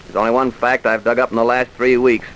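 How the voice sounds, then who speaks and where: A man's voice: medium pitch, level tone, fairly quick, fairly bright tone, close to an everyday voice, low-quality recording. A man playing an earnest, dogged police trooper in an old-time radio drama, speaking in a clipped, emphatic way. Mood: glum, determined. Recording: hissy vintage radio recording.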